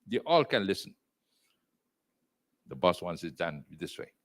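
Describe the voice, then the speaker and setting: An older man with a Malaysian accent speaks with a loud, emphatic delivery. His pitch swings widely from low murmurs to sudden high exclamations, and he drops Malay words into his English. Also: sing-song, a little slow. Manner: mixes in Malay words and phrases. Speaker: entrepreneur and executive chairman, interview guest. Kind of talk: interview over video call.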